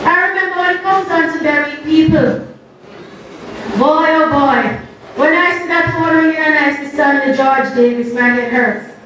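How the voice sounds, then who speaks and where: Jamaican woman, high voice, sing-song storytelling, funeral tribute, echoey church from far off. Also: normal speed, a bit bright, a little hiss or hum. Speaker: politician giving a funeral tribute. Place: church service.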